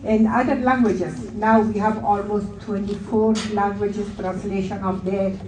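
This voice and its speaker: A woman from Afghanistan with an Afghan accent and Farsi as her first language gives a prepared public speech, halting in places, in slightly unidiomatic English. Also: mid-range voice, fairly even intonation. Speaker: community liaison speaking at an event. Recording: roomy echo, distant from the mic.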